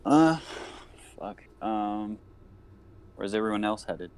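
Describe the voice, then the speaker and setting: A man's voice: on the low side, expressive, slow pace, a little mellow, smooth. A man playing a tabletop game who speaks briefly and hesitantly. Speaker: player. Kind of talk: casual tabletop game chat.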